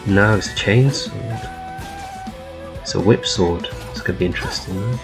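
A man with a low voice hosts a casual live reaction. He comments as he watches, speaking into a laptop's built-in microphone. Soft music plays faintly behind him. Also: normal speed. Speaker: host reacting to a game trailer. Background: faint trailer music.

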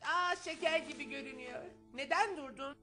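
A woman playing an eager, excitable little brother in a dubbed children's cartoon. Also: high voice, moderate pace, over music, natural voice.

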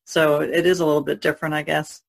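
A woman's voice: deep voice, level tone, fast, vocal fry. A woman speaking casually as a podcast panelist.

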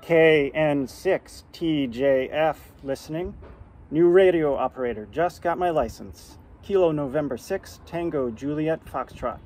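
A middle-aged American man making amateur radio calls and talking casually to camera outdoors, spelling out call signs deliberately. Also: fairly high pitch, darkish tone. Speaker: amateur radio beginner, vlogger. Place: outdoors in a yard and porch. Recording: outdoor background noise.

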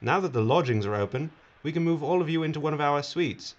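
A man's voice: a little high, animated, quick pace, lightly acted. Man playing a smarmy, glib corporate boss, muffled recording. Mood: smarmy, self-pleased. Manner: glib, patronizing, oily. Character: smarmy, self-satisfied male corporate boss. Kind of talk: audio drama acting. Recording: dull, noisy audio.

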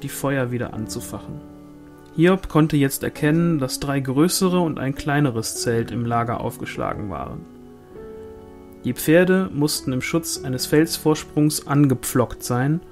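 A man's voice: a little high, a little mellow. A man reading a fantasy novel aloud as scripted audiobook narration. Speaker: audiobook reader.

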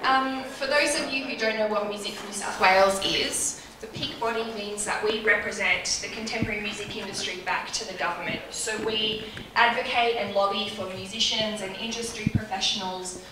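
A woman's voice: mid-range voice, fairly even intonation, moderate pace. An Australian woman, around her thirties, giving a spoken welcome as host to a live audience. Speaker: event host, music industry executive. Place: indoor event room with large windows. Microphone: handheld microphone through a PA. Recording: echoey room, distant sound, clear background noise.

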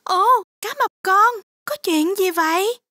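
A woman voicing a caring adult mother shark in a children's cartoon, gentle and reassuring. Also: very high voice, measured pace, very noisy.

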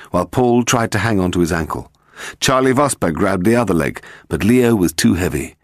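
A man's voice: on the low side, no room sound, clean studio sound. A man narrating a mystery novel as a scripted audiobook reading.

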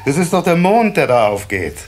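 A man's voice: a little high, sing-song, normal speed. A man playing a kindly, proud water sprite father in a children's radio play, musing and fatherly. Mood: fatherly, indulgent. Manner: fatherly, musing, hesitant repetitions. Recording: faint hiss of old cassette.

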